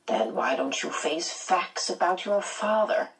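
A woman voicing a stern, prying male school principal in an audiobook, measured and admonishing. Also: fairly low pitch, sing-song, vocal fry, recorded from a distance, full character voice. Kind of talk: audiobook character voice.

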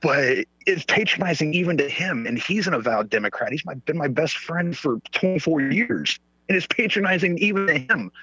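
A man's voice: on the high side, animated, normal speed, bright, forceful tone, breathy edge, no echo. A man from Sweden, Swedish native, chatting bluntly and crudely with frequent laughs. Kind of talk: casual chat. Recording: dull lo-fi audio.

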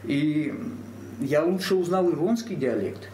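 A man, a theatre actor, speaking earnestly and deliberately in a panel discussion, pausing with hesitations. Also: on the high side, animated, darkish tone. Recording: echoey room, faint background hum.

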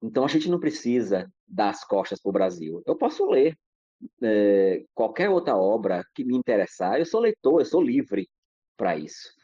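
A man's voice: fairly low pitch, big pitch swings, moderate pace, dark tone, breathy edge, dry recording, muffled recording. Brazilian man, reflective and discursive. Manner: discursive, many restarts and fillers. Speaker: podcast guest. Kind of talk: reflective casual talk.

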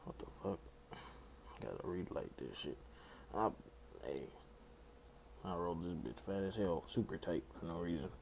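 Raspy young male podcast host, very low and gravelly, laid-back rambling delivery. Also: moderate pace, pauses often, a little mellow, slight background noise. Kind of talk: casual solo podcast chat.

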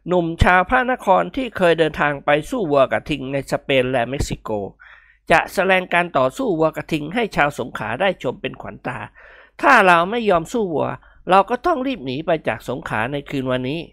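A woman's voice: low-pitched, big pitch swings, fairly quick, gravelly, a bit bright, no echo, clean studio sound, heavily acted. A woman voicing a cheeky, easily frightened rich young man who whines and blusters in a storybook reading. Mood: grumbling.

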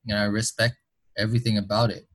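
A man's voice: lowish voice, flat delivery, quick pace. A young man with an American accent talks as an interview guest. He rambles in a loose, easy way and laughs often. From Bangladesh. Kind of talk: casual interview chat.

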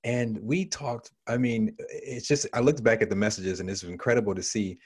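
Husky-voiced man hosting a podcast. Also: fairly low pitch, fairly even intonation, normal speed. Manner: repeats words and restarts sentences while asking long questions. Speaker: podcast host. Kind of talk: conversational interview.

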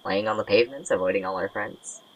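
A woman playing a tired, guarded young friend with wry, deadpan humour in an audio drama. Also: rumbling low, fast talker, slight background noise, low-quality recording. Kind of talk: naturalistic audio drama acting.

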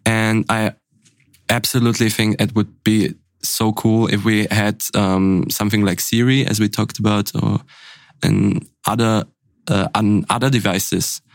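A young man from Burgenland co-hosting a podcast, speaking softly and hesitantly with fillers. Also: fairly low pitch, no room sound. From Burgenland, Austria. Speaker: podcast co-host. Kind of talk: casual podcast conversation.

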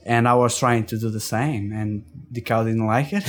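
A man from Portugal with a Portuguese accent, recounting his life in a casual interview, halting with frequent restarts and fillers. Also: mid-range voice, lively intonation, darkish tone. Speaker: interview guest. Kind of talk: casual storytelling.

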